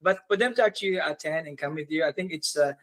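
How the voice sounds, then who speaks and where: A man's voice: a little high, fast. A man co-hosting a radio show, encouraging and affirming a guest. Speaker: co-host.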